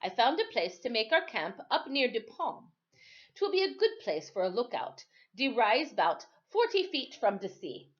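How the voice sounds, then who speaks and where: A woman voicing a calm, patient older island sailor man in a storybook reading, with drawn-out, folksy phrasing. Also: a little high, highs cut off, voice pushed up. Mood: matter-of-fact. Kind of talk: storybook read-aloud.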